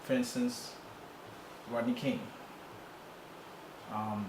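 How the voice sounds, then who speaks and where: A man who is co-hosting a casual talk show and tells stories in an animated way. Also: mid-range voice, very slow. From Bahamas. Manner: animated storyteller, mimics voices. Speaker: podcast co-host. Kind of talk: casual opinion chat. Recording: echoey room with clear background noise.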